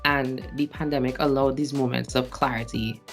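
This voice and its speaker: A man's voice: mid-range voice, fairly even intonation, moderate pace, fairly bright tone, soft background music. A Trinidadian man in his late twenties, a stage actor and singer, reflecting on his experiences in a casual interview and laughing often between phrases. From Trinidad and Tobago. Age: late 20s.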